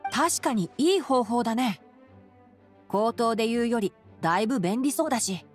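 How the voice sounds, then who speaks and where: A woman voicing a clever young male scholar in an anime game, measured and gently dry. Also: a little high, lively intonation, soft background music, lightly acted. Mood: calm, thoughtful. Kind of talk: Japanese anime game voice acting.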